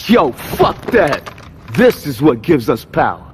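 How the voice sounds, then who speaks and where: An actor playing a defiant, hot-headed young gang underling in a video game cutscene, aggressive and confrontational. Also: male voice, a little high, very animated, takes it slowly, background noise, full character voice. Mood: scornful.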